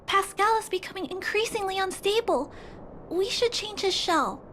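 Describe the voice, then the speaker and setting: A voice actress playing an earnest young girl who speaks gently but with resolve. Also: very high-pitched, expressive, normal speed, a little hiss or hum. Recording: music behind.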